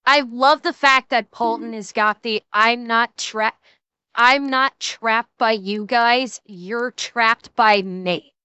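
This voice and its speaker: A woman's voice: fairly high pitch, slow pace, sharp tone, clear, resonant tone, no echo. A woman streamer giving crude, profane, laughing reaction commentary.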